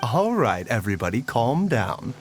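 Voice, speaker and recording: A man's voice: medium pitch, very animated, moderate pace, over music, close to an everyday voice. A man voicing a suave, courteous pharmacist. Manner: polite, formal, gently persuasive. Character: courteous male pharmacist, smooth and measured. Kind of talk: game voice acting.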